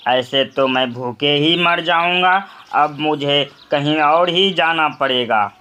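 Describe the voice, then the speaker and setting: A man's voice: fairly high pitch, takes it slowly, sharp tone, slight background noise, voice pushed up. A man voicing a big, dangerous, hungry eagle grumbling gloomily in a cartoon story. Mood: gloomy, hungry. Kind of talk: acted cartoon moral story.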